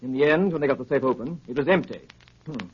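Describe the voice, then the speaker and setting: An actor playing a dutiful police inspector undercover as a butler, husky, deferential and matter-of-fact, in 1930s radio drama. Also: male voice, medium pitch, fast talker, slight background noise, close to an everyday voice. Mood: earnest, reporting. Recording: dull 1930s radio recording.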